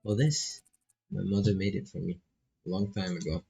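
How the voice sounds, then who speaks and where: Reserved new student girl for a visual novel, read by a man: hesitant, understated, dark and husky. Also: deep voice, steady tone, normal speed, soft background music, heavily acted.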